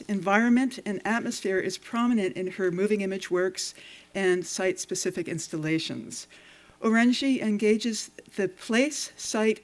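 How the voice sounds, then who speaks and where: Woman host reading a formal intro from notes at a screening, measured pace, theater setting. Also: medium pitch. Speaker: event host introducing a guest.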